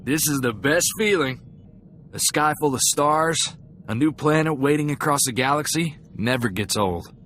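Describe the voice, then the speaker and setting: A man's voice: a little high, expressive, moderate pace, fairly bright tone. An actor playing an earnest, idealistic young freighter crewman in a video game, talking in a folksy, chatty way. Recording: clear background ambient noise.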